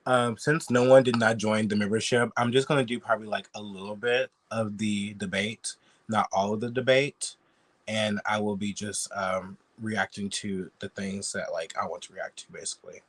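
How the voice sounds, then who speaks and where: A man's voice: on the low side, steady tone, normal speed. A man who is sick and sniffly, chatting bluntly and casually as a YouTube reaction commentator. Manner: chatty, blunt, swears freely.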